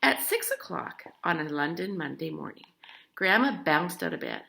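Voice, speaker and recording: A woman's voice: fairly low pitch, normal speed, gravelly. A woman reading a children's picture book aloud expressively, talking to young listeners as she goes. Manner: animated, addresses child listeners with questions. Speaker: storybook reader.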